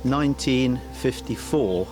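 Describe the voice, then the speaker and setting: A man in his seventies from Guernsey, whose first language is Guernsey French, calmly recalling his school days. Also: mid-range voice, slow, music in the background. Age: 70s. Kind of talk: casual reminiscing.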